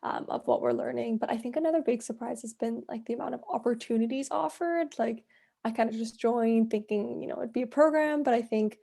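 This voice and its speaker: A woman's voice: fairly high pitch, brisk, gravelly, mellow. A woman in her twenties answering casually as a student panellist, conversational and peppering her sentences with filler words. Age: 20s. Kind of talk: casual webinar interview answers.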